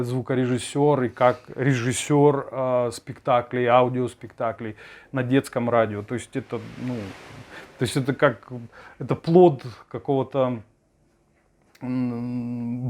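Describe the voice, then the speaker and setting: A middle-aged man in casual interview talk, halting as he searches for words. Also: mid-range voice. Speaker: songwriter and sound director.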